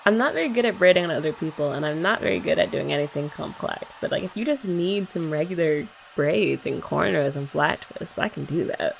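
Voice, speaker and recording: A woman's voice: deep voice, brisk, creaky, few pauses, a little hiss or hum, rough recording. A young woman in an ASMR girlfriend roleplay, chatting in a playful, teasing way.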